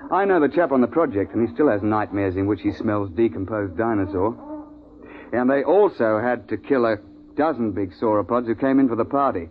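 An actor playing a seasoned hunting guide, firm and wry, in a 1950s radio drama. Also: male voice, fairly low pitch, phone-quality sound, lightly acted. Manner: colloquial, authoritative storyteller. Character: seasoned male hunting guide telling his safari tales, firm, wry. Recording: band-limited 1950s radio recording, faint noise.

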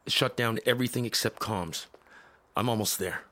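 An actor playing a weary, determined cybernetic veteran soldier in an audio drama, speaking tersely with a gravelly, husky voice. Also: male voice, moderate pace, pushed and bright. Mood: urgent, concerned. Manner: terse, low-key military delivery.

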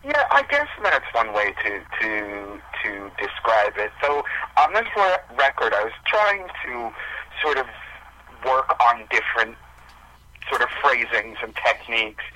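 A man's voice: mid-range voice, sing-song, measured pace, pushed and bright, low-quality recording. A man speaking as a musician guest in a casual interview, hesitant and self-deprecating as he explains himself.

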